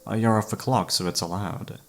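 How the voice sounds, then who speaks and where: A man's voice: lowish voice, steady tone, a little fast, close to an everyday voice. A man acting an obsessive, flirtatious serial killer who holds a detective captive, speaking with teasing mock courtesy in an ASMR roleplay. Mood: genial host. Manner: teasing, mock-polite, theatrical, savouring words. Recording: background music under the voice.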